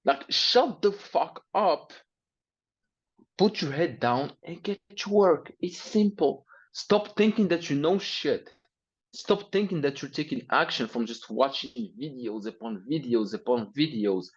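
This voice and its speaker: Young Arabic-accented man, interview guest, blunt and profane, husky and slightly muffled. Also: mid-range voice. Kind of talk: interview, motivational rant.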